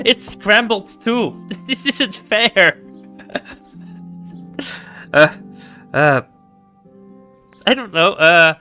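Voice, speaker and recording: A man's voice: high-pitched, animated, drawn-out words, long pauses, bright, forceful tone, faint music behind. A man with a Dutch accent, chatting casually while streaming a game, giggly and hesitant with many ums. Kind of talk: casual gameplay commentary.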